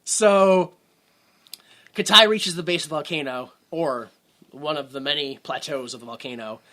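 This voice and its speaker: Male podcast co-host, bright edge to the voice, loose and animated, laughs through his retelling. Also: a little high. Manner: animated, swings between quiet mutters and loud outbursts; laughs often, acts out characters in quick asides. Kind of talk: casual movie recap chat.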